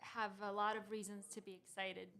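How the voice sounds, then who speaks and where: A woman's voice: medium pitch, little pitch movement, a little fast, creaky, pushed and bright, clean tone. Woman founder in her 30s, grew up in costa rica, laughs a lot, lots of ums, studio chat. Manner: laughs often, many fillers and restarts. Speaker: startup founder and guest. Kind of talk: casual interview conversation.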